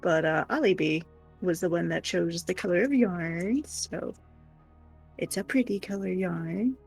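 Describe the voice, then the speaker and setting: A woman's voice: fairly low pitch, measured pace, gravelly, a little mellow, slightly rough, soft background music. A woman chatting casually on a craft livestream in an informal, tentative way. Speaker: co-host doing crochet. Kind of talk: casual chat.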